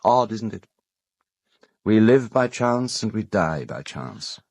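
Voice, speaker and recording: Urbane, low-voiced British gentleman. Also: very animated, slightly rough, no echo, studio quality, exaggerated voice. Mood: wistful, reflective. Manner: measured, articulate, dryly ironic, with hesitant pauses. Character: urbane, cold, self-justifying English gentleman confessing a crime. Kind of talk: audio drama acting.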